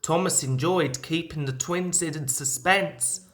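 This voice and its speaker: A woman narrating a scripted children's story as an expressive read-aloud. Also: low voice, slow pace. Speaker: storybook reader.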